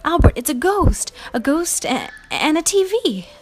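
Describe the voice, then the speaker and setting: Storybook neighbour lady, voiced by a woman: gushing, excitable, high and animated. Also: a little slow. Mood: gushing, delighted.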